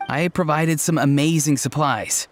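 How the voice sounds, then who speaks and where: A man playing a good-natured young tribesman in a video game, calm, sincere and very literal. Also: a little high, unhurried, soft background music, natural voice. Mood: sincere, untroubled. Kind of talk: video game character acting.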